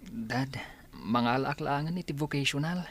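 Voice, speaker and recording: A man's voice: mid-range voice, unhurried, fairly bright tone, husky. A young man playing a discouraged student son in a radio drama, pleading and hesitant. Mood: defensive. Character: discouraged teenage son who dreads college.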